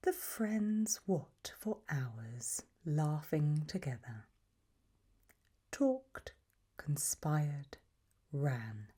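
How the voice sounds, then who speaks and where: Woman, ASMR roleplay, breathy whisper, extremely slow and deliberate, softly instructive. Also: low voice, sing-song. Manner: slow, deliberate, softly instructive with long pauses between options. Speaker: ASMR roleplay performer acting as an examiner.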